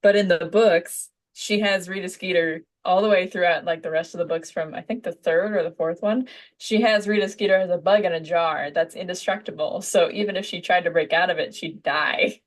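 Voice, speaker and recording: A woman's voice: on the low side, fairly even intonation, a little fast. A woman chatting casually as a student in a voice acting lesson. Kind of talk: casual chat.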